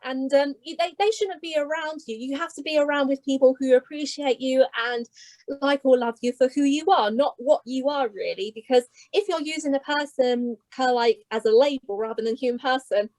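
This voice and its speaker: High-pitched girl hosting a panel, chatty, keeps restarting. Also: brisk, a little mellow, low-quality recording. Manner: chatty, restarts a lot, upbeat sign-off. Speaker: panel host. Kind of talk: casual online panel hosting.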